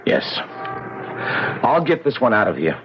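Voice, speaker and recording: An actor playing a gruff, watchful, suspicious guard with a rough, husky voice in a 1970s radio drama. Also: male voice, lowish voice, huge pitch swings, unhurried, background noise. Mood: urgent. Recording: dull 1970s radio broadcast.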